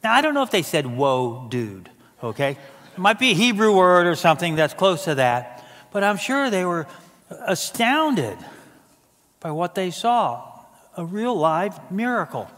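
A man's voice: high-pitched, big pitch swings, unhurried, fairly bright tone. Older man preaching in a reverberant hall. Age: 60s. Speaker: executive pastor. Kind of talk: sermon. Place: stage of a large church auditorium. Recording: hall reverb from a large auditorium.

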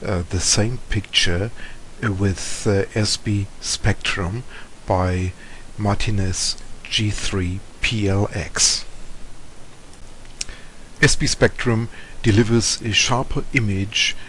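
A man with a German accent narrates a technical tutorial. His voice is fairly low and his delivery is very slow, with measured, halting phrases. There is clear noise behind the voice-over. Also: steady tone, fairly bright tone, low-quality recording. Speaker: amateur radio presenter.

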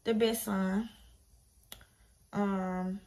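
A woman's voice: mid-range voice, steady tone, extremely slow, darkish tone. A woman of twenty-one from Detroit, chatting casually to camera in a laid-back, slangy, blunt way. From Detroit, Michigan, USA. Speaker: vlogger. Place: small bedroom, indoors.

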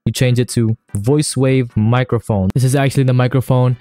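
A man narrating a casual software tutorial in a slangy, enthusiastic way. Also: mid-range voice, fairly even intonation, normal speed, dry recording. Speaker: YouTuber host. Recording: music under the voice. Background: background music.

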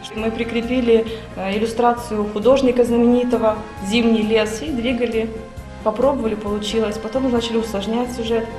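A woman's voice: a little high, level tone, brisk, dark tone. A middle-aged woman, a kindergarten teacher, answering in an interview in a classroom. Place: indoor classroom. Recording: echoey room with music behind.

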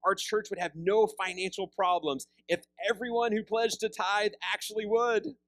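A pastor in his thirties preaching a sermon, seated with a handheld mic, animated and conversational, often repeating words as he restarts. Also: sits high, moderate pace, dry recording, low-quality recording. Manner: animated preaching with big hand gestures, rising loud on emphasis. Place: church fellowship hall, seated on a stool.

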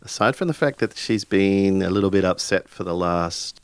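A man improvising dialogue and narration in a tabletop roleplaying game, haltingly searching for words. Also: low voice, clear, resonant tone, no room sound.

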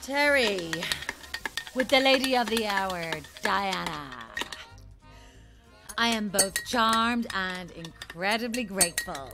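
A woman's voice: mid-range voice, slow pace, vocal fry, music in the background. An actress playing a gracious, eerie woman who speaks with effusive formality in a horror audio drama. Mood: charmed. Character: gracious, eerie woman welcoming an honored guest.

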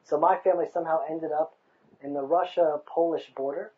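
Fired-up guy in his 30s, outdoor interview on a lookout, lapel mic, a bit dull lo-fi sound, higher voice. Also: steady tone, brisk, warm tone. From United States. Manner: passionate, emphatic, rhetorical. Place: outdoors on a hilltop overlook.

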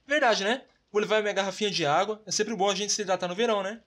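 A woman's voice: medium pitch, quick pace, close to an everyday voice. A woman with a Brazilian accent voicing a carefree, teasing boy best friend in a children's comedy cartoon, breezy and colloquial. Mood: lighthearted.

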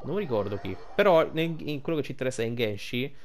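A man's voice: mid-range voice, very animated, fast, faint music behind. An Italian man giving casual gameplay commentary, rambling and thinking aloud as he plays. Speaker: gaming streamer.

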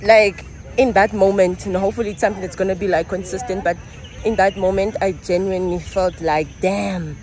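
A woman's voice: medium pitch, fairly even intonation, a little fast, darkish tone. A young woman from Namibia talking casually to her viewers in a travel vlog, chatty and full of fillers. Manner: chatty, filler-heavy, addresses viewers directly. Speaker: vlogger.

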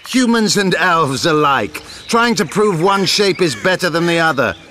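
Proud, scornful elf rebel, animated delivery. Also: male voice, a little high, a little hiss or hum. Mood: bitter, contemptuous. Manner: measured, eloquent, scornful. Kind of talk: dramatic video game acting. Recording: clear forest ambience behind voice.

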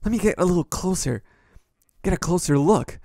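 A man playing an excitable, naive young adventurer, stammering and talking to himself as he goes through an amateur adventure story. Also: sits high, brisk, dry recording, clean studio sound. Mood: curious, wary. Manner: talks to himself, stammering, breathlessly reactive.

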